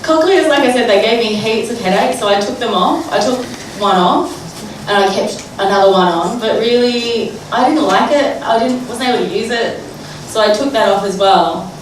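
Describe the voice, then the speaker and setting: A woman tells a story in the first person as a sign interpreter, chatty and loose with lots of "like" and "you know." She sounds far from the mic in a very echoey hall, with clear noise behind her. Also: a little high, moderate pace. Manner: chatty, filler-heavy, amused. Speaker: sign language interpreter voicing a deaf speaker.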